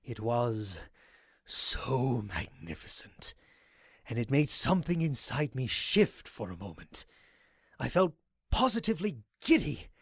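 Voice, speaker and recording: A man's voice: mid-range voice, dry recording, clean studio sound. A man playing a zealous, courteous pony cult leader in an audio drama, speaking in a formal, grandiose way. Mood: reverent, exultant.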